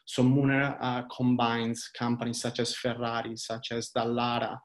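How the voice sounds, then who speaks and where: Italian man pitching on a video call. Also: completely flat delivery, normal speed. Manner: earnest, fluent with frequent "uh" fillers. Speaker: company director presenting to investors. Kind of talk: investor webinar presentation. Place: small indoor room. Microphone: laptop webcam video call.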